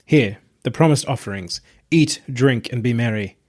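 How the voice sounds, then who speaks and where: A man's voice: mid-range voice, normal speed, a little mellow, natural voice. Audiobook role: eager, persuasive young man at university, playful delivery, performed by a male reader. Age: young adult. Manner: keen, coaxing, playful. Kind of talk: audiobook character voice.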